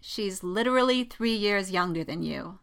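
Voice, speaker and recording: Woman as a teasing male best friend, bright voice. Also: mid-range voice, animated, normal speed, vocal fry, clear, resonant tone. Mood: gleeful. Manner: teasing, sarcastic, gleefully needling. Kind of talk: dramatic fan fiction reading.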